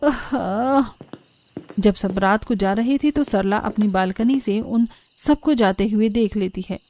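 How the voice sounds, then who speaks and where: A woman's voice: mid-range voice, normal speed, warm tone, faint music behind. A woman reading scripted narration for a cartoon story.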